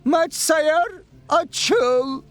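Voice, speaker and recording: A man playing an eccentric, doting fitness scientist in an animated cartoon dub. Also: very high-pitched, drawn-out words, no echo, exaggerated voice.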